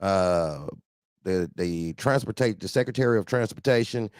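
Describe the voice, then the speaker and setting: A man's voice: sits low, lively intonation, normal speed, a little mellow, no room sound. Slangy American man hosting, close dry sound. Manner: slangy, colloquial, repeats phrases emphatically. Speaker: host of a trucker talk show. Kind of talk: casual, heated group chat.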